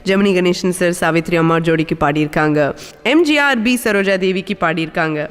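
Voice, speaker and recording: A woman hosting a radio show in an excited, chatty way, talking straight to her listeners. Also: fairly low pitch, fairly quick, clean tone, big-room sound. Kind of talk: upbeat radio show presenting.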